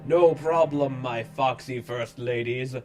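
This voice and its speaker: A man voicing a smug, grandly calm cartoon cat hero in a storybook parody. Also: mid-range voice, slow, soft background music, pitched below the performer's own voice, close to an everyday voice. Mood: smug seduction.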